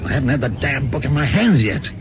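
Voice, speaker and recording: A man's voice: medium pitch, animated, quick pace, over music, close to an everyday voice. An actor playing a stubborn, impatient, scornful male boss in a radio drama. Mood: insistent. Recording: muffled, noisy old radio broadcast.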